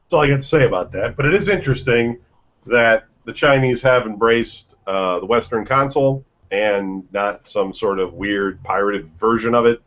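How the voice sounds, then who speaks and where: A man with a lowish voice hosts a gaming news show, reading stories and then riffing on them with swearing and jokes. The audio sounds dull and lo-fi, like a home podcast recording. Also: normal speed, fairly bright tone. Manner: reads headlines then jokes, swears freely. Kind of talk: casual news chat.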